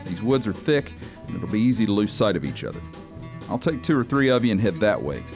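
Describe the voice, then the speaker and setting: An actor playing a steady, respectful lawman, speaking earnestly in a radio drama. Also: fairly low pitch, over music. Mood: earnest, directing. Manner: measured, earnest, plain-spoken.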